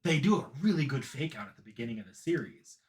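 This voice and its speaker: A man's voice: mid-range voice, big pitch swings, quick pace, darkish tone. A man co-hosting a casual anime discussion, hesitant and often restarting his phrases. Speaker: podcast co-host.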